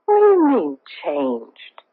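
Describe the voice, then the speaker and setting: Guarded middle-aged society wife, animated pitch, husky tone, defensive temper, tinny vintage radio drama. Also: female voice, slow, full character voice. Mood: indignant, defensive. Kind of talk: 1970s radio mystery acting. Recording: tinny, band-limited old radio broadcast.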